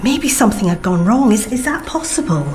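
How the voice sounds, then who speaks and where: An actress plays a probing, intense psychic medium in a radio play. Her voice is a little high, and she speaks haltingly and portentously over music. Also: expressive, darkish tone, close to an everyday voice. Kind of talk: radio drama acting.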